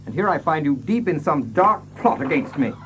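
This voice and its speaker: An actor playing an imperious, hot-blooded young baronet in a radio-style drama, speaking in a commanding, insistent way. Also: male voice, fairly high pitch, big pitch swings, normal speed, fairly bright tone, soft background music. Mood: stern, demanding. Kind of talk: radio-play dramatic acting.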